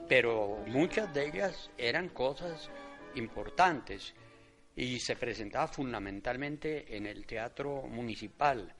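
An older man giving reflective interview commentary in halting, measured phrases. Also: mid-range voice, animated, over music. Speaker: interviewee commenting on theatre history.

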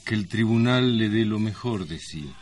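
A man's voice: lowish voice, normal speed, darkish tone, a little hiss or hum. A man with an Argentine accent co-hosting a radio show, bantering in a tongue-in-cheek, mock-formal way. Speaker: radio co-host. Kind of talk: bantering comic radio talk with mock-solemn lines.

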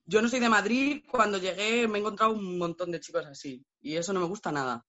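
A woman's voice: mid-range voice, fast talker, fairly bright tone. A young woman from La Mancha speaks with a Castilian accent in a casual, candid chat. Her phrasing is colloquial and the recording is slightly dull and muffled. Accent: Castilian Spanish. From La Mancha, Spain.

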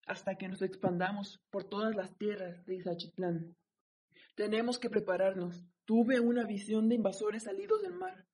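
Woman as a husky, commanding Aztec ruler. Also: mid-range voice, lively intonation, moderate pace, warm tone. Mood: solemn, rallying. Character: proud, commanding male Aztec ruler and warrior. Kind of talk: radio drama acting.

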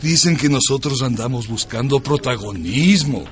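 Actor as an animated, deliberate veteran political comrade. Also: male voice, medium pitch, close to an everyday voice. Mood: bitter. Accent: Ecuadorian. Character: earnest, aggrieved veteran communist doctor, affectionate old comrade. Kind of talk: radio drama acting.

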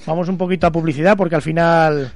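A man's voice: a little high, steady tone, quick pace, no echo, soft background music. A man with a Spanish accent hosting a casual radio interview in a conversational way. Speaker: radio show host.

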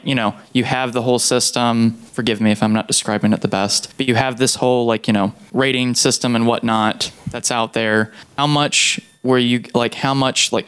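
A man's voice: mid-range voice, fairly bright tone, clear, resonant tone. A young man asking a casual question from the audience in an informal, meandering way, full of filler words.

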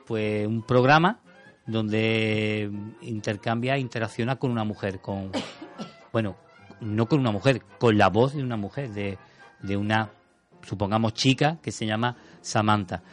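Thoughtful Spanish radio film commentator. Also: male voice, on the low side, unhurried, faint music behind. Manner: thoughtful, rambling, with many restarts. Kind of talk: casual radio chat. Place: radio studio.

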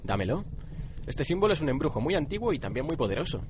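A man's voice: on the high side, sing-song, fast talker, background noise. A man playing an imperious, mysterious young wizard in a radio drama. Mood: commanding, ominous.

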